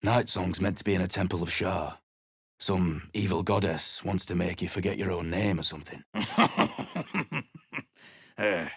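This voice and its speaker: Gruff sellsword for a game, middle-aged, low voice, dry studio sound, blunt and sarcastic. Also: male voice, measured pace, a bit bright, no room sound, full character voice. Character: surly male mercenary adventurer, blunt and weary. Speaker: game character. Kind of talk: video game voice acting.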